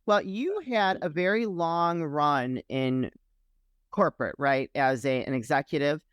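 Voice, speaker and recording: Woman podcast host, chatty and upbeat, crisp voice, dead-dry mic. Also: low-pitched, unhurried, no echo. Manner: upbeat, chatty, addresses listeners directly. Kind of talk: casual interview chat.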